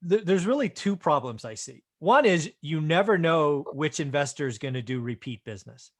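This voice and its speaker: Slightly high-voiced man talking business. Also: lively intonation, normal speed. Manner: explanatory, affirming, often checks in with "right?". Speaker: real estate investor and show host. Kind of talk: conversational business commentary.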